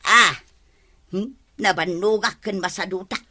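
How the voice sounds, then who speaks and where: An actress playing a boastful, quarrelsome village wife in an Ilocano radio comedy, with an Ilocano accent and exclamatory, drawn-out delivery. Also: female voice, mid-range voice, pushed and bright. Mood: nagging.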